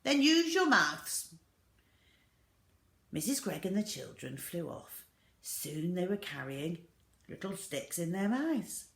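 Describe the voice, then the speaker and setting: Slow, animated woman storyteller. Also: fairly low pitch, creaky, reverberant. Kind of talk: expressive children's story reading.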